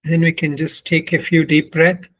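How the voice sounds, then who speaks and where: A man leading a guided meditation as a spiritual teacher, speaking in short, deliberate phrases with long pauses. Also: a little high, flat delivery, dull audio. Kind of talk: guided meditation, spoken instruction.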